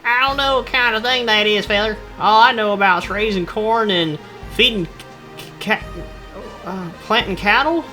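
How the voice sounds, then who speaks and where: A man voicing a simple, folksy farmer with a slow-witted drawl, over music. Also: high voice, pushed and bright, pitched above the performer's own voice. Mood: folksy, befuddled.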